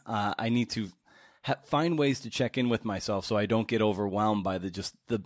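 A man's voice: lowish voice, no echo, clean studio sound. A man hosting a podcast interview, asking long conversational questions in wordy, searching phrasing.

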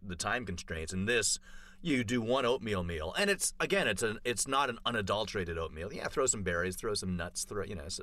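A man's voice: lowish voice, animated, fairly quick, pushed and bright. A man chatting casually on a podcast, telling a long story full of fillers and restarts. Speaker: podcast co-host. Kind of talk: casual chat.

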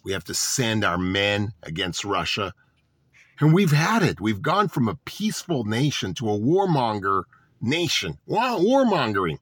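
A man's voice: on the low side, sing-song, measured pace, fairly bright tone, slightly rough, no echo, clean studio sound. A man delivering a political monologue to his audience, sarcastic and full of rhetorical questions. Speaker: political commentator.

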